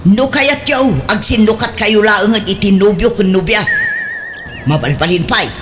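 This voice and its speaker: A woman's voice: mid-range voice, moderate pace, soft background music, natural voice. A woman acting a scolding middle-aged mother in a radio drama, speaking with an Ilocano accent and breaking into exclamations.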